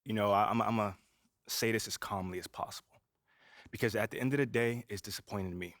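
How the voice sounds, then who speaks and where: A man's voice: on the low side, steady tone, fast, a bit bright, no room sound, studio quality, close to an everyday voice. An actor playing a confident young male basketball rookie in a game cutscene, laid-back and brash, constantly saying "you know". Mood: measured. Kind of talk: video game cutscene voice acting.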